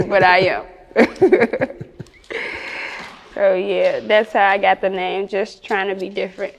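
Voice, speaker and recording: A woman with a Chicago accent chatting casually and candidly in an interview as a rapper, slangy and quick to laugh. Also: mid-range voice, gravelly, long reverb tail. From Chicago, United States.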